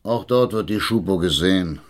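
An actor playing a confident town mayor, affable and self-assured, in a radio drama. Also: male voice, low-pitched, moderate pace, natural voice. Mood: serious. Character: confident town mayor, middle-aged man.

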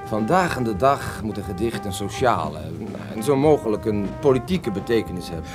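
A man's voice: fairly low pitch, animated, a little fast, natural voice. A man playing a cocky beatnik poet in his twenties in a radio drama, with a hip, provocative manner. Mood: provocative, cool. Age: 20s. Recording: radio play with loud music behind.

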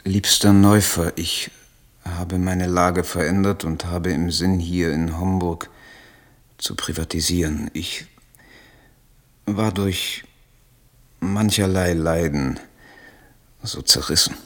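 An idealistic, troubled poet in a radio play, with a low voice. He declaims solemnly and haltingly, as if reading out his own letters. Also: fairly even intonation, a bit bright. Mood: halting, wounded. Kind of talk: literary radio play acting.

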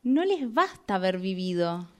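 A woman's voice: medium pitch, very animated, measured pace. A woman reading an uneasy tramp musing in an amateur radio play. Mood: hushed, uneasy. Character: uneasy tramp listening to murmuring dead voices.

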